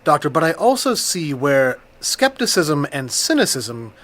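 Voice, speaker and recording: An actor playing a skeptical paranormal podcast host, measured and probing, with a dry, weary streak. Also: male voice, fairly high pitch, very animated, a bit bright. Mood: measured, inquisitive. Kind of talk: audio drama, scripted interview hosting.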